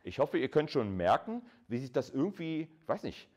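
A man's voice: mid-range voice, sing-song, normal speed, darkish tone. A man lecturing informally in a colloquial, conversational way, explaining things step by step and addressing his listeners directly. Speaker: university lecturer.

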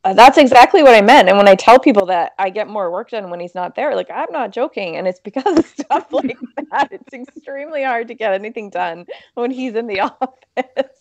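A woman's voice: a little high, lively intonation, brisk, a little mellow, no room sound. A woman in her thirties chatting casually as a staff panelist through an earbud mic. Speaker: rescue centre staff panelist. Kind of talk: casual chat. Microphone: earbud mic on video call.

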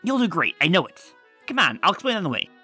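A woman voicing a bold, confident female adventurer pony in a comic dub, talking briskly and commandingly. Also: lowish voice, sing-song, sharp tone, breathy edge, faint music behind, heavily acted. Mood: explaining.

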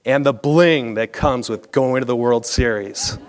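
An American man in his forties reads a scripted personal story on stage in a measured, polished broadcaster-like delivery. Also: medium pitch, background noise. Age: 40s. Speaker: storyteller, former baseball broadcaster. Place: theatre stage, indoors, at a lectern.

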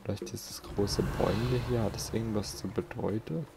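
Southern german guy doing a chatty let's play, low gravelly voice, music behind him. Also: moderate pace. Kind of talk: casual gameplay commentary. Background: game music.

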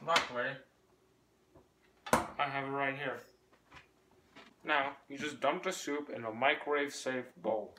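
A young man hosting a homemade comedy cooking show in a family kitchen, deadpan and casually swearing. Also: mid-range voice, a little slow, pauses often, a little hiss or hum. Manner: deadpan, swears casually, switches between bickering and cooking instructions. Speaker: comedy cooking-show host playing both himself and a rival. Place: family home kitchen and hallways, indoors.

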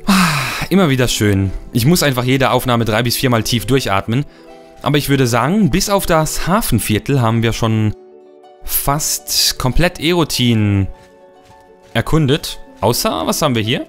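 A young man with a slightly rough, cold-worn voice, chatting playfully and rambling as he narrates his gameplay. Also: mid-range voice, animated, moderate pace, faint music behind. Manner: playful, rambling, repeats words for fun. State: hoarse from a cold. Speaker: let's play host. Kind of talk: casual gameplay commentary.

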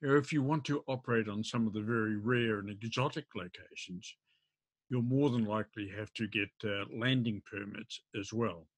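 An older man, explaining informally to camera in a halting, hesitant way. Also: on the low side, breathy edge, no echo. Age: older, around 70s. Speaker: amateur radio vlogger. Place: indoors at a desk.

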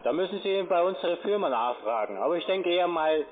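A man with a high voice makes a casual sales call as a call centre agent. The sound is narrow like a phone line, with a loud party-like din of music and voices behind him. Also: lively intonation, fast. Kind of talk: casual telephone sales pitch. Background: loud music and crowd chatter.

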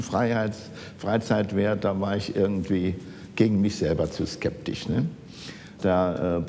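An elderly man from the Saarland in Germany reminiscing in conversation, haltingly searching for words. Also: warm tone. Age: older, 70s or 80s. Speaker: architect interviewed as an eyewitness. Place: large office archive room, indoors. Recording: echoey room with rumbling background noise.

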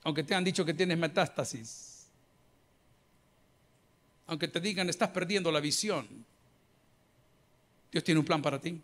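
A middle-aged man from El Salvador with a Salvadoran accent, preaching a sermon on a handheld mic in a large church, lively and joking. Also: a little high, unhurried, long pauses. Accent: Salvadoran Spanish. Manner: lively preaching, bursts of mimicry and humor. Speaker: pastor. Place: large church auditorium with a crowd. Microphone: handheld microphone.

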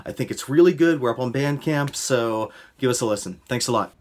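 A man's voice: mid-range voice, fairly quick. A man in his thirties, a music producer, casually recommending albums over a video call from a room. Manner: relaxed, conversational, uses fillers. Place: indoor room. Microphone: video call webcam.

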